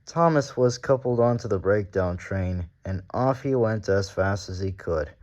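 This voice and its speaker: Guy reading a kids storybook out loud, lowish voice, slow, bit muffled. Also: lively intonation. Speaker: story reader. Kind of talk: children's storybook read-aloud narration.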